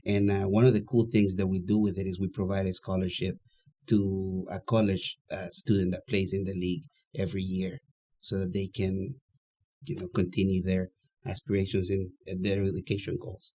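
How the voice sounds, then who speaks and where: A man, a guest in an interview, explaining his side activities in a steady, matter-of-fact way. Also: sits low, moderate pace. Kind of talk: casual interview chat.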